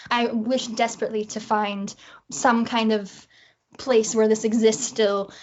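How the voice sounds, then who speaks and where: A woman's voice: on the high side, darkish tone, a little hiss or hum. A woman talking casually as a guest in an interview about writing. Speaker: interview guest, audio drama writer. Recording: slightly dull, lo-fi audio.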